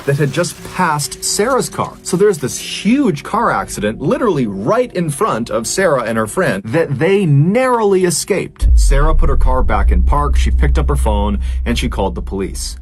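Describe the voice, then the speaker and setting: A man's voice: medium pitch, sing-song, moderate pace, few pauses, soft background music. A man telling a strange true story as a host, in a conversational, emphatic and suspenseful narrating style.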